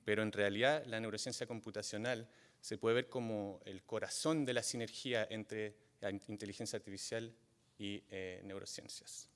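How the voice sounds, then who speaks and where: A man, not from Chile, giving a university guest lecture, halting often with fillers and restarts. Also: on the low side, fairly even intonation. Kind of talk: academic lecture. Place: lecture hall. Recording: long reverb of a lecture hall.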